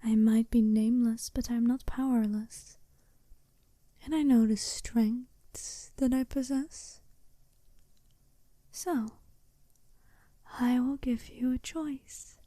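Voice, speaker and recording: A woman voicing a seductive, self-assured werewolf bride in an ASMR roleplay, soft-spoken and teasing, often slipping into a breathy whisper. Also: on the high side, steady tone, dry recording. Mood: alluring, challenging. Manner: slow, teasing, deliberate, intimate.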